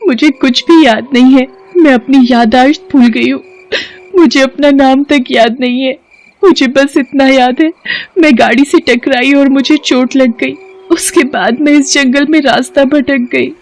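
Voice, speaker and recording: A woman voicing a young witch who poses as a meek bride, soft and polite or coldly threatening, in a horror story. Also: high voice, steady tone, unhurried. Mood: meek, troubled. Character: young female witch posing as meek bride, turning menacing. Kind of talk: dramatised Hindi horror story acting.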